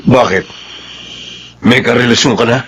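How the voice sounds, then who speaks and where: An actor playing a pushy, spurned man in a Filipino radio drama, with a husky, confrontational delivery. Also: mid-range voice, moderate pace, a bit bright. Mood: indignant. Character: spurned, pushy man demanding an answer from his old flame.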